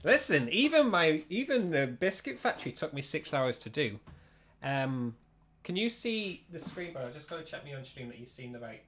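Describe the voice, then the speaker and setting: A Yorkshire man with a slightly high-pitched voice leads an informal livestream workshop. He is chatty and explanatory, talking directly to his listeners and repeating words to stress a point. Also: sing-song, normal speed, fairly bright tone, a little hiss or hum. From Yorkshire, England. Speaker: streamer teaching audio drama production.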